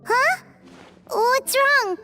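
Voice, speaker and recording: A squeaky tiny sprite companion. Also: female voice, very slow, pushed and bright, soft background music, heavily acted. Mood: puzzled. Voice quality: squeaky, bouncy. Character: tiny floating chirpy companion sprite, childlike. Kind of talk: video game voice acting.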